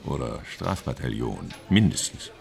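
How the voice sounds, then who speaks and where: A man's voice: rumbling low, very animated, unhurried, creaky, a crowd in the background, heavily acted. A man acting an agitated, theatrical stage colleague who mimics others as he gossips fearfully in a German radio drama. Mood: grim, anxious. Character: agitated male actor gossiping fearfully about Nazi film studio.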